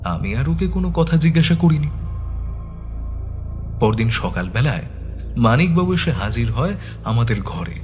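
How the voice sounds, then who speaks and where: A man's voice: medium pitch, sing-song, normal speed, pauses often, a little mellow, faint music behind, lightly acted. A man narrating a dramatised detective audio story as the detective's attentive companion. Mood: measured. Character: attentive male companion of a detective, telling the case.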